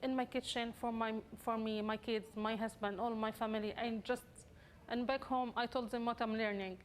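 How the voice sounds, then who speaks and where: A woman's voice: fairly high pitch, steady tone, normal speed. A woman from Egypt, speaking in simple, restarting phrases in a video interview. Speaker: program peer facilitator. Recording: faint background noise.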